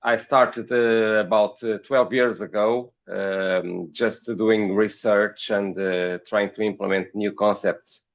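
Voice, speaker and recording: A man from Portugal with a Portuguese accent, giving interview answers as a podcast guest in a halting, hesitant way, often repeating words. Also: lowish voice, fairly even intonation, vocal fry, dull audio. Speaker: podcast guest, company founder.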